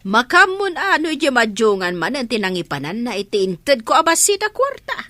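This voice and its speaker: A woman's voice: fairly high pitch, very animated, normal speed, sharp tone, no echo. A middle-aged woman playing a nagging, scolding mother of a grown daughter in a radio drama. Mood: exasperated. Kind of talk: Ilocano radio drama acting.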